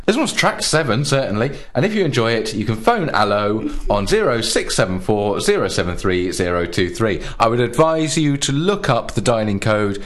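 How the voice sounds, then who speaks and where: A man's voice: on the low side, very animated, normal speed, few pauses. A man hosting a casual comedy radio show, presenting in a playful, mock-grand way. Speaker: radio host.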